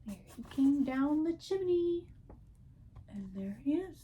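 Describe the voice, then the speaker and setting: A woman with a fairly high voice reads a children's poem aloud at a very unhurried pace. Her delivery is expressive and measured, shaped for young listeners at storytime. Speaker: pediatrician reading a story to children.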